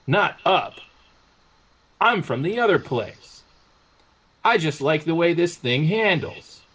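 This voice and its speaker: A man's voice: mid-range voice, a bit bright, breathy edge, heavily acted, poor audio. A man voicing a menacing, taunting green visitor from a flying saucer in a story reading. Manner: confrontational, boastful threats.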